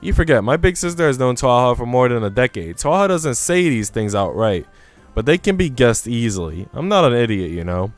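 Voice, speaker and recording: A man's voice: mid-range voice, big pitch swings, a little fast, no room sound, soft background music, close to an everyday voice. A man voicing a haughty young woman from a visual novel, self-assured and condescending. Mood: knowing, dismissive. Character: haughty, dismissive young woman, quick to judge.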